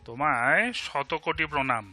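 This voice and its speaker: A man's voice: medium pitch, rapid-fire, bright, forceful tone, no room sound, faint music behind. A man with an Indian Bengali accent playing a blunt, sardonic but loyal bachelor friend in an audio drama, with emphatic delivery. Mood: incredulous, sarcastic. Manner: sarcastic, emphatic, switches between English and Bengali. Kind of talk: amateur audio drama acting.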